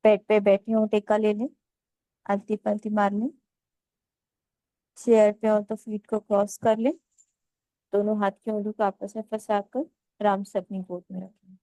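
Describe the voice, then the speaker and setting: A woman leading a guided meditation, giving calm, unhurried instructions in short phrases with pauses. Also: mid-range voice, no echo, rough recording.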